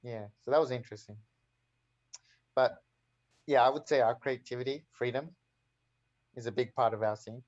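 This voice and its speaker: A laid-back Australian man talks at a mid pitch on a panel, with his speech full of fillers. The stream audio is dull and lo-fi. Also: moderate pace, pauses often, a little mellow. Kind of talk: casual panel chat.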